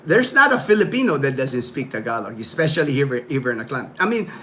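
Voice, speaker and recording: A man's voice: mid-range voice, very animated, brisk, sharp tone, a little hiss or hum. A man hosting a casual livestream from his home, rambling in loose talk full of "you know", "like" and "man". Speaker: livestream host.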